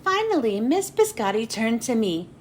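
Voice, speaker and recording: A woman narrating a children's storybook expressively, with dramatic pauses and drawn-out phrase endings. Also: on the high side, sing-song. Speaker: children's book read-aloud host. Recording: noticeable background noise.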